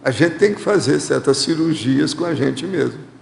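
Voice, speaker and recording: A man's voice: on the high side, lively intonation, moderate pace, vocal fry, darkish tone, a little hiss or hum. A Brazilian man hosting a studio Q&A who reads out questions and gives plain, direct advice. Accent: Brazilian Portuguese. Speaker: host reading viewer questions. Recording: echoey hall reverb.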